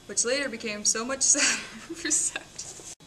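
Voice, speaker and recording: A woman, a student, giving an expressive amateur dramatic reading of a novel that builds to emphatic passages. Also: fairly high pitch, moderate pace, a little hiss or hum, low-quality recording. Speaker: student reader.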